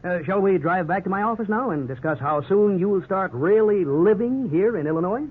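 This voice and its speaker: An actor playing an upbeat, evasive real estate salesman in a 1950s radio drama, with smooth, ingratiating sales patter. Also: high-pitched, expressive, brisk. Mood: salesy, upbeat. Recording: tinny, hissy 1950s radio recording.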